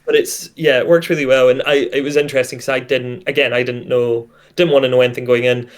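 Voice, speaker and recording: A man's voice: mid-range voice, level tone, quick pace, smooth. A man with a mid-range voice chats casually as a co-host. He sounds thoughtful and meandering, often rewording a point halfway through as he gives his review. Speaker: co-host of an audio drama discussion stream. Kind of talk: casual chat, reviewing an audio drama.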